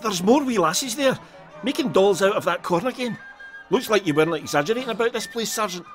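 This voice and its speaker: An actor with a Scottish accent playing a plain-spoken, uneasy, sceptical police constable in an audio drama, grumbling in colloquial speech. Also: male voice, sits high, animated, fairly quick, faint music behind. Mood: curious. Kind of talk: full-cast audio drama acting.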